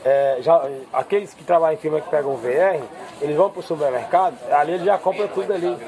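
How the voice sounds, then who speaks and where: A man with a cold talks into his phone at an outdoor street market. His voice sits a little high and he chats loosely with viewers and stallholders, while a loud market crowd surrounds him. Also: fast talker. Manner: chatty, keeps addressing viewers as "pessoal". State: has a cold. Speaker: market vlogger. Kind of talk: casual walk-around vlog chat. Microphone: handheld phone. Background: busy market crowd.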